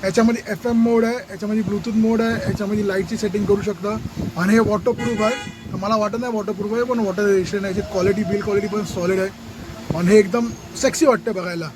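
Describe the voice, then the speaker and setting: A man's voice: sits high, steady tone, fast. A man with a Maharashtrian Indian accent talking casually in an unboxing vlog, informally mixing in English words. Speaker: vlogger. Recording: very noisy, outdoor traffic-like background noise.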